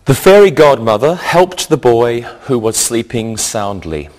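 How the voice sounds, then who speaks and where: A man teaching an English grammar lesson in a scripted, deliberate, instructional way, enunciating carefully. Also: mid-range voice, sing-song, music in the background.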